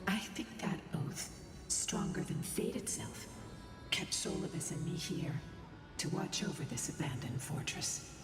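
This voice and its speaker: A woman playing an ancient, solemn and wistful winged guardian in a video game, with a breathy voice and grave, measured storytelling. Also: low voice, expressive, distant mic. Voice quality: breathy, whispery. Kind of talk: video game dramatic dialogue. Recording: voice in long echoing reverb, music behind. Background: game music.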